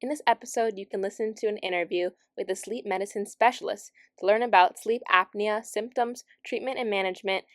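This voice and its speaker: A woman's voice: mid-range voice, a little fast, few pauses, dry recording, studio quality. A woman hosting a podcast, giving polite scripted introductions and asking questions. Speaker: podcast host.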